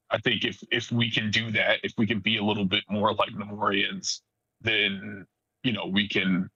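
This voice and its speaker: A man who works as a voice actor, speaking off the cuff in a thoughtful, halting way as he reflects during a cast discussion. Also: lowish voice, creaky, sharp tone, husky, no room sound, muffled recording. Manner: thoughtful, halting, searching for words. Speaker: voice actor in a fiction podcast.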